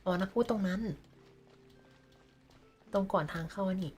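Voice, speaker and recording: A woman's voice: medium pitch, steady tone, rapid-fire, a little mellow, soft background music. A woman with a Thai accent livestreaming a game, chatting casually and reading dialogue aloud.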